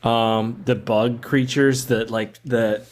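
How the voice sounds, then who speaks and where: A man's voice: mid-range voice, fairly even intonation, slow pace. A man co-hosting a podcast, casually discussing film in a rambling way, often searching for words. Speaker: podcast co-host.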